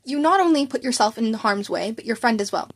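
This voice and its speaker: A stern, world-weary male teacher, acted by a woman reading a story. He speaks in a deliberate, exasperated way, scolding with tired authority. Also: a little high, expressive. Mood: serious, worried.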